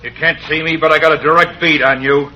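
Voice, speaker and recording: An actor playing a ruthless, gloating western villain who barks menacing orders in an old radio drama. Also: male voice, a little high, fairly even intonation, fast, low-quality recording. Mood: commanding, threatening. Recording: sharp, grainy old radio recording.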